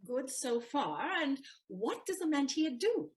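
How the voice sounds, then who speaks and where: Woman voicing a clever teasing young maid, high bright pitch, very lively intonation. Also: a little slow. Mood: testing. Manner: teasing, sharp-witted, mocking. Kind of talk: stage audio play acting.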